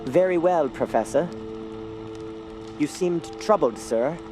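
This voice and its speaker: Dream-haunted young male artist, earnest and unhurried, florid, for audio horror drama with a loud music bed. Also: fairly high pitch, expressive. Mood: anxious, polite. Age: young adult.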